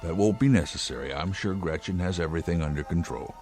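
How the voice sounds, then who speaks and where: A man playing a controlling, fastidious older father in a radio drama, speaking with pompous precision. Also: deep voice, darkish tone, faint music behind, natural voice. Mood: firm, controlling.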